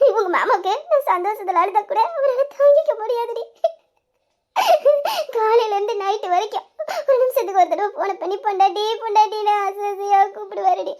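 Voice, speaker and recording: A woman's voice: extremely high pitch, brisk, darkish tone. A woman voicing a lovesick young woman who sobs and pleads to her younger sister. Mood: tearful, longing. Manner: sobbing, pleading, breaking into tearful laughs. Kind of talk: dramatized audio story.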